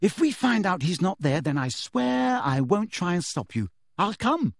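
A man's voice: on the high side, sing-song, normal speed, breathy edge, no room sound, studio quality, voice pushed up. A man voicing an earnest, bossy teenage witch girl in an audiobook, with an urgent, anxious manner. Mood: urgent, pleading. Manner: urgent, pleading, fierce. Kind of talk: audiobook character voice.

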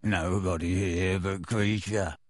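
A wheezy, croaking old house elf, gleeful and sly, drawing out each word. His voice sinks very deep and goes husky and strange. It sounds close and dry, with no room around it. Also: fairly even intonation, slow, no room sound.

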